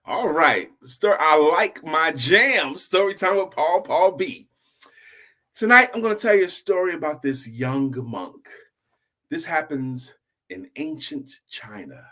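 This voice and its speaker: A man hosting a story show, telling a tale in a casual, animated, improvised way and repeating phrases for emphasis. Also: medium pitch.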